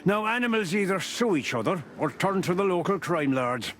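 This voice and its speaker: A man's voice: high voice, animated, normal speed. A man playing a jovial old beaver who sells newspapers in a noir adventure game, folksy and avuncular with a chuckling manner. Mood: knowing.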